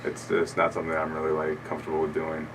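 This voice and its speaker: A young comedian with a very deep, low voice, talking in a loose, hesitant way with plenty of fillers. He sits a bit back from the studio mic, and a faint hiss runs under his voice. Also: quick pace, distant mic. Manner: relaxed, rambling, hesitant with many fillers. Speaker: comedian guest. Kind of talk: casual radio interview. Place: small radio studio.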